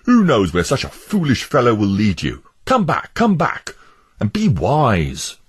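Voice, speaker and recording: A man voicing a stubborn, scornful male neighbour who blusters and mocks, in a husky voice. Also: medium pitch, wildly swooping pitch, a little slow, pitched above the performer's own voice, exaggerated voice.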